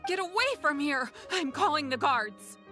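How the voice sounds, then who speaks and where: A woman's voice: sits high, expressive, normal speed. A woman playing an indignant, distrustful townswoman in a video game. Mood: alarmed. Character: alarmed, distrustful, shrill townswoman. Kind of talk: video game character acting. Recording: music behind the voice. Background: game music.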